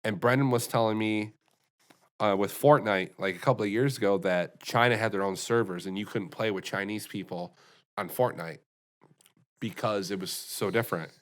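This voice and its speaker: A man's voice: on the low side, moderate pace. An American man co-hosting a podcast, chatting casually and rambling with many restarts and fillers. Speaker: podcast co-host. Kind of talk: casual chat.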